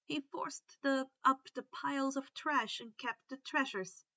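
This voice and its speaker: A woman who is not a native English speaker, reading stories aloud in an expressive, informal way. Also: sits high, a little slow, dry recording, dull audio. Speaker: fan fiction reader, host. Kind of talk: expressive amateur story narration, chatty outro.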